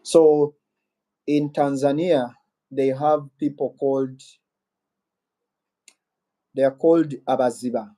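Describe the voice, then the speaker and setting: Male live-streamer with a Ugandan accent, slow and rambling, voice in a middle register. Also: mid-range voice, steady tone, warm tone. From Western Uganda. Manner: rambling, muses aloud and reads viewer comments. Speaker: YouTuber hosting a live Q&A. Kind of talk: casual live-stream chat.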